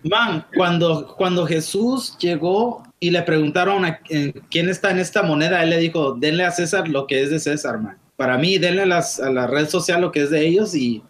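A man's voice: a little high, moderate pace, few pauses, a little hiss or hum. A man talking casually in a panel chat, often dropping English words into his speech.

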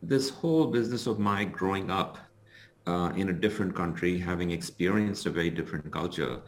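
A middle-aged man from India, a guest author and consultant, answering interview questions in a measured, explanatory way with many fillers. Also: sits low. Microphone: remote call recording.

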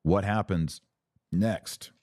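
A deep-voiced man hosts a religious commentary podcast in a dry, close recording. He phrases his points deliberately and with emphasis, moving between reading formal passages and explaining them. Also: a little mellow, no room sound. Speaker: podcast host and author.

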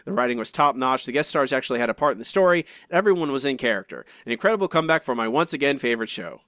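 A man's voice: mid-range voice, rapid-fire, dry recording. Dry, chatty podcast host man. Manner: chatty and animated, many restarts and asides. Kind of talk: casual podcast chat, reading listener comments.

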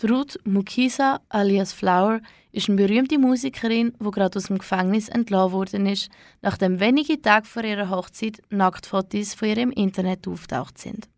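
A woman's voice: mid-range voice, steady tone, moderate pace, no echo. Woman presenter, very dry close sound. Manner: explanatory. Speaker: podcast presenter. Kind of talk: scripted introduction to a radio play.

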